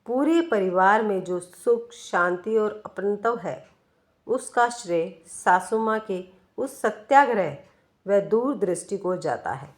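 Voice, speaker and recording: Female narrator for an emotional first-person short story, unhurried delivery, mid-range pitch, expressive measured reading.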